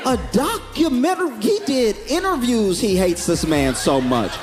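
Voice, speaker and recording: A man's voice: very high-pitched, big pitch swings, normal speed, noisy background. A man narrating a commentary video in a chatty, amused way, laughing through his lines.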